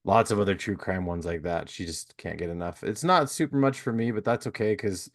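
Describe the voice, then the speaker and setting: A man's voice: low-pitched, brisk. A man hosting a podcast interview, chatting casually and rambling with lots of "you know" fillers. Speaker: podcast host.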